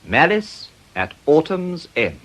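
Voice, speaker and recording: A man's voice: on the high side, huge pitch swings, takes it slowly, a bit bright. A man announcing a radio play in a scripted credits style. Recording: dull, lo-fi old broadcast.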